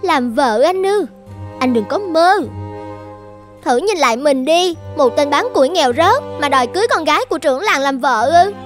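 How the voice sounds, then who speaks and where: A woman voicing a haughty, scornful young village chief's daughter in an animated fairy tale, with a disdainful, cutting delivery. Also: high voice, moderate pace. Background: light background music.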